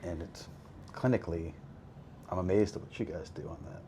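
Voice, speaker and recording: A man hosting a faith-based recovery conversation, talking in a relaxed, reflective way with many pauses and fillers. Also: low voice, slight background noise. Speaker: podcast host.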